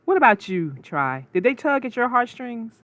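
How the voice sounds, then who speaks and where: A woman narrating a children's storybook aloud as host, expressive and sing-song, then chatting about the story. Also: fairly high pitch, normal speed, no echo.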